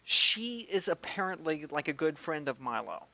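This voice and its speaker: A man co-hosting a podcast, chatting about politics in an animated, sarcastic, mocking way. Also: on the high side, moderate pace, gravelly, no room sound, lo-fi sound. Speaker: podcast co-host. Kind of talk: casual political chat.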